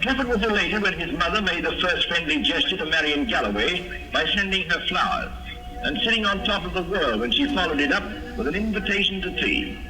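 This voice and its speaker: A man announcing and narrating an old radio family serial in a formal, measured storytelling manner. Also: high voice, expressive, poor audio. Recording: old radio broadcast with music.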